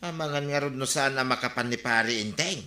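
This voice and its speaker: Guy acting ilocano villager in comedy radio play, bright voice, echoey. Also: mid-range voice, brisk, close to an everyday voice. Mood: inquiring. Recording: roomy, echoing studio sound.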